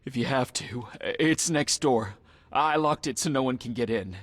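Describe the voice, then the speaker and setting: A man playing an anxious, guilt-ridden family man in a video game, husky and stammering as he pleads. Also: medium pitch, moderate pace, a bit bright, no room sound, natural voice. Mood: shaken. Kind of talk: video game cutscene acting.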